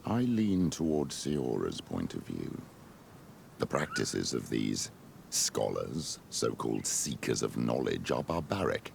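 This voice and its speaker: Very deep, gravelly old bishop. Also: male voice, very animated, slow, slight background noise, heavily acted. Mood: disapproving. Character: stern, disapproving older male bishop. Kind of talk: video game cutscene acting.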